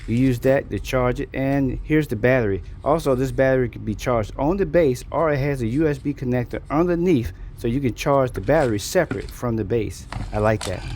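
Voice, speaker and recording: A man's voice: medium pitch, a little fast, few pauses, a little mellow, a little hiss or hum. A man giving a casual, folksy product walkthrough as a reviewer in an unboxing video.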